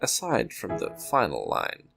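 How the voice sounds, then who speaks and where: A man with a fairly low voice and plenty of fry in it. He presents a fiction podcast and reads stories from a script. Soft music plays faintly behind him. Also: huge pitch swings, measured pace. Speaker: podcast host and story reader.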